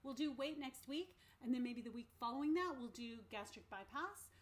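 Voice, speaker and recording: A woman's voice: high voice, lively intonation, moderate pace. Upbeat chatty woman hosting a meeting. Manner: chatty, says "like" and "um" often, upbeat and encouraging. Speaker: nutrition therapist hosting an online recovery meeting. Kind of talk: casual informative talk. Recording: faint background noise.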